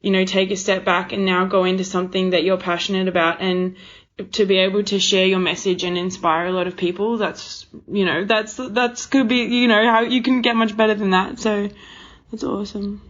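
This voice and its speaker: A woman's voice: medium pitch, fairly even intonation, moderate pace, a bit bright. A young woman interviewing casually, affirming her guest in an informal, conversational way. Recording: dull, lo-fi audio.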